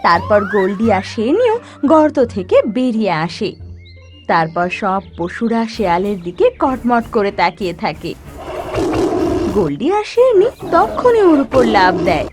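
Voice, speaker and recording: A woman narrating an animated children's story in an expressive, dramatic storytelling manner. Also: medium pitch, measured pace, music in the background.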